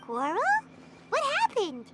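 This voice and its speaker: A woman voicing a small childlike fairy companion in a video game, excitable and blunt, with a squeaky voice. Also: measured pace, heavy background noise, exaggerated voice. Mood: curious. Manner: exclamatory, refers to self in third person.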